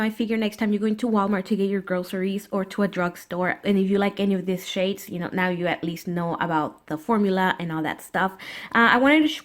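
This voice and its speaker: A woman with a stuffy, cold-blocked voice, chatting casually through a nail polish review with plenty of "you know" and "uh". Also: medium pitch. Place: indoors at a table.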